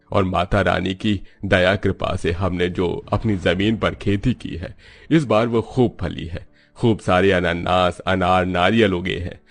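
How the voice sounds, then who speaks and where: A man voicing a kindly, hopeful elderly father from a village in an animated moral story. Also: sits low.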